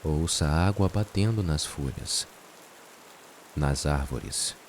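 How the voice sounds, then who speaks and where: Deep, slow Brazilian man guiding meditation. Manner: soothing, unhurried, with long pauses. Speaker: meditation guide. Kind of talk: guided meditation narration. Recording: steady rain and hiss behind voice. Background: rain sounds.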